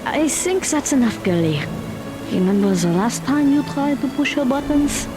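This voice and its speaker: Woman playing an animated, level-headed teenage girl. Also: high-pitched, normal speed, over music. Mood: firm. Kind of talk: audio drama acting.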